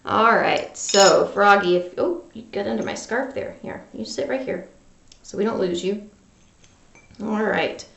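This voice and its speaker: A woman teacher talking directly to young children in an animated, conversational read-aloud lesson style. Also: medium pitch, measured pace, creaky, slight background noise. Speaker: music teacher and storyteller for children. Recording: roomy, echoing home recording.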